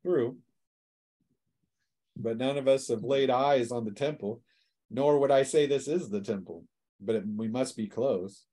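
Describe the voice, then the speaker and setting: Man narrating as a halting game master. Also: mid-range voice, warm tone. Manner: halting, drawn-out scene narration. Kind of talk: narrating a tabletop roleplaying session.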